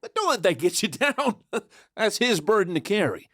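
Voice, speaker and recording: A man's voice: high voice, sing-song, normal speed, no echo, clean studio sound, heavily acted. A man playing a sly, cocky Old West outlaw who narrates his own tale in a folksy, teasing, conversational way. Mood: sly, confident. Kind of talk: first-person storytelling narration in audio drama. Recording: loud music under the voice.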